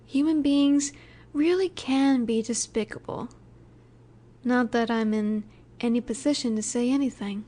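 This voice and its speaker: Soft, mellow, high-voiced female mafia boss acted by a woman. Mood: calm, reassuring. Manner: soft, unhurried, soothing yet in command. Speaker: audio roleplay voice actor. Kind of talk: intimate scripted audio roleplay, addressed to listener.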